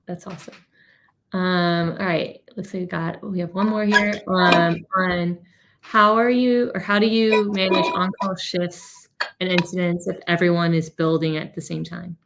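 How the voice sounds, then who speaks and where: A woman with a slightly low voice moderates a webinar. She passes questions along casually, with an upbeat and encouraging informality. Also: steady tone, a little slow, creaky, noisy background.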